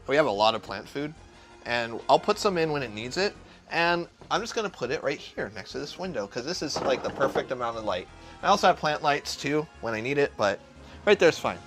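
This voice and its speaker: A man's voice: fairly high pitch, a bit bright. A man who is a chef, cooking on a live stream and chatting with viewers in a crude, joking, profane way. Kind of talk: casual livestream cooking chat.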